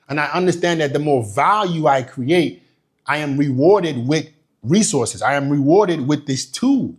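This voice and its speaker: A young man from Nigeria giving a motivational business talk, emphatic and profane, hammering points home by repeating them. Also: on the high side. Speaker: business coach.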